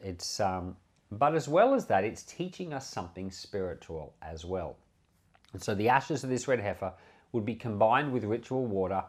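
A man giving an informal Bible teaching as a pastor, explaining conversationally with repeated phrases and rhetorical questions. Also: mid-range voice. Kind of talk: informal expository sermon, explaining scripture.

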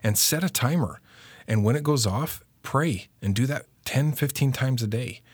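A man's voice: medium pitch, moderate pace, husky, no room sound. A man co-presenting a religious self-help lesson in an earnest, instructive manner.

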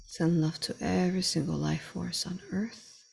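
A woman leading a slow guided meditation in a soft, breathy voice that often drops to a whisper, with long pauses. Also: on the low side, fairly even intonation. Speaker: guided meditation leader and channeler.

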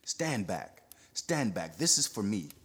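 A man reading an audiobook, voicing a frantic, vengeful man who shouts his words out in a rush. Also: low voice, moderate pace. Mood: taunting. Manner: shouting, words tumbling over each other.

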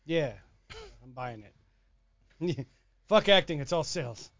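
Giggly man with a higher voice, lo-fi mic. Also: lively intonation, slow pace, creaky, fairly bright tone, no room sound. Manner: laughs a lot, slips into fake commercial voice. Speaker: podcast co-host. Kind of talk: comedic banter with mock ad reads.

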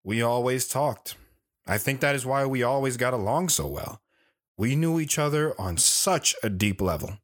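A man's voice: mid-range voice, lively intonation, moderate pace, natural voice. A man playing an earnest, reflective drifter who tells his life story plainly and sincerely in an audio drama.

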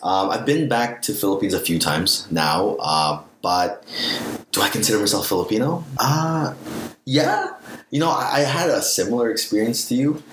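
A man in his late twenties with a western Canadian accent talks casually about himself in a low voice. He is recorded in a reverberant room with faint background noise. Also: lively intonation, normal speed, fairly bright tone. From Vancouver, Canada. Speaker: podcast guest. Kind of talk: casual personal storytelling.

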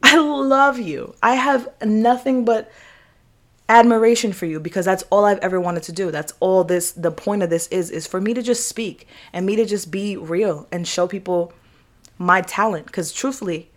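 A woman's voice: medium pitch, normal speed. A young American woman hosting a podcast, giving a casual motivational monologue in a candid, emphatic, slangy way with swearing and repeated phrases. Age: young adult. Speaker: podcast host.

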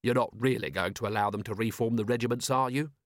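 A stubborn city watch commander in an audiobook voiced by a man. He is blunt, cynical and plain-spoken, with a mid-pitched voice in a dry, close recording. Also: fast, no echo, natural voice. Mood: arguing. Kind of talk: audiobook character voice.

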